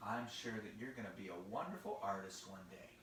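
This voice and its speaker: A man voicing a patient, encouraging father who gently corrects his child in a children's story. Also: fairly high pitch, sing-song, brisk, pitched below the performer's own voice. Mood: gently admonishing. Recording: very echoey room, faint noise, distant.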